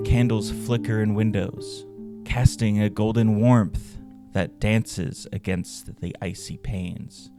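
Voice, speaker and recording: A man hosting a podcast, reading scripted narration and stories in a measured, dramatic way. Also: fairly low pitch. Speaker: podcast host and storyteller. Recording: roomy echo, faint music behind. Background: faint background music.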